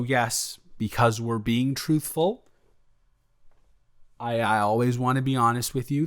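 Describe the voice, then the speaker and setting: A man acts out a smitten young male roommate in a cozy romantic audio roleplay. His mid-pitched voice moves at an unhurried, slow pace. He rambles casually, cuts himself off and answers his own questions, sheepish yet playfully defensive. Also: lightly acted.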